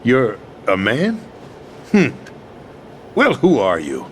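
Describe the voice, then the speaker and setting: An actor playing a disillusioned middle-aged American president in a video game, speaking in a grave, deliberate, theatrical way. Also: male voice, medium pitch, wildly swooping pitch, a little hiss or hum. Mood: curious, guarded. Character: disillusioned, bitter middle-aged US president, power-hungry and weary. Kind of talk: dramatic video game voice acting. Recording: music behind the voice.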